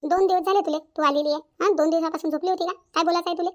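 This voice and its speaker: A woman acts out an irritable older mother-in-law from a cartoon family story. She scolds in a high Varhadi-accented voice, repeating herself at a racing pace, bossy and insistent. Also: steady tone, racing. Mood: annoyed. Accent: rural Maharashtra, Varhadi. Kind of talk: cartoon family drama acting.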